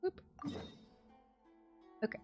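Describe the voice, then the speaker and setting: A woman livestreaming a game, chatting casually in a playful, teasing, giggly and expressive way. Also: very slow, dull audio, soft background music. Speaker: livestream host. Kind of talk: casual game-stream chat.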